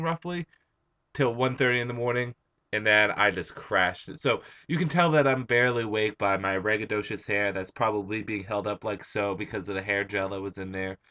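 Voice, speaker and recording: A young man with a hoarse, tired voice, just out of bed, rambling through a casual comic book review. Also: lowish voice, sharp tone, dull audio. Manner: rambling, chatty, with frequent "uh" and restarts.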